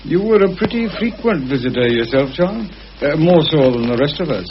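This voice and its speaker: An actor playing a hearty, hinting chum in a vintage radio play, with a casual, old-fashioned manner. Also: male voice, a little high, animated, a little fast. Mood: insinuating. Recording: dull, hissy old radio drama recording.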